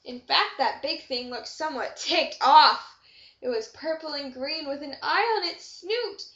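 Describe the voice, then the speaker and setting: A woman's voice: high-pitched, unhurried, fairly bright tone. A woman reading a rhyming children's story aloud with expression. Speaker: storybook reader. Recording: dull echoey amateur room recording.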